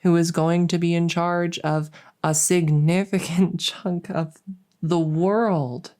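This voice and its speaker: A woman's voice: lowish voice, steady tone, a little mellow. A woman playing a world-weary young princess in an intimate audio roleplay, speaking hesitantly and confidingly, sometimes close to a whisper. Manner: hesitant, halting, confiding, with trailing pauses.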